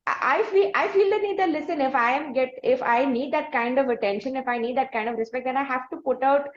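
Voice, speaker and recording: A woman's voice: sits high, quick pace. A woman with an Indian accent interviewing conversationally, in wordy, hesitant phrases that often repeat. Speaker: podcast interviewer. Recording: muffled, roomy audio.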